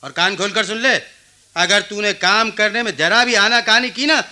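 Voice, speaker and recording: A man's voice: high-pitched, moderate pace, sharp tone. A man playing a proud, wounded village oil-seller in his forties, speaking emphatically in a Hindi radio drama. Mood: harsh, domineering.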